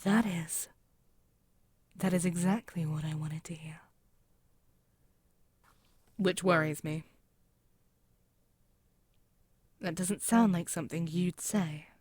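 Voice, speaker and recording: A woman voicing a proud, mocking demon queen in an intimate ASMR roleplay, speaking in a haughty, deliberate, musing way. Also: on the low side, steady tone, a little mellow, breathy edge, no room sound. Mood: suspicious, musing. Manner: haughty, deliberate, musing, with pauses and murmured asides. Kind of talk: scripted ASMR audio roleplay, spoken intimately to the listener.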